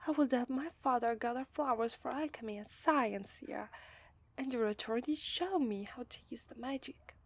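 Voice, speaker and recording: Woman voicing a demon princess bride, Italian accent, breathy, fairly high, soft-spoken, hesitant, close dry sound. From Italy. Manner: gentle and soft-spoken, often hushed to a whisper, with nervous hesitant restarts. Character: shy, affectionate young female demon princess bride. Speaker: voice actress. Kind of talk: ASMR roleplay.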